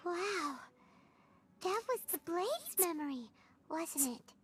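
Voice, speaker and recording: A woman voicing a tiny, chatty female fairy companion in a video game, with a squeaky, childlike and expressive way of talking. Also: slow, a little hiss or hum, heavily acted. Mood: curious. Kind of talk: game voice acting. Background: game music.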